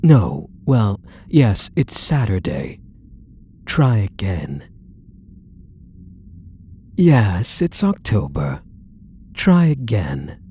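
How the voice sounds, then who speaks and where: Casting: male actor for doting yet controlling yandere kidnapper, intimate ASMR roleplay, soft endearments, dark mellow tone, unhurried. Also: lowish voice, wildly swooping pitch, slight background noise, heavily acted. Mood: doting, affectionate. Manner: soft, coaxing, condescending pet-name endearments.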